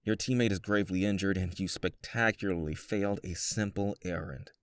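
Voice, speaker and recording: Male actor, stern creature guild official, lowish voice, formal scolding, exasperated, dry close sound, comic dub. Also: fairly even intonation, brisk, dry recording. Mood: stern, disappointed. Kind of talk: animated comic dub acting.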